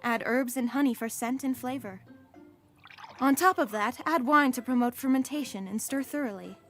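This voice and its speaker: A woman's voice: high voice, moderate pace, faint music behind. A woman playing a courteous palace attendant in a comic dub, giving patient, orderly instruction. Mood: purposeful, instructive.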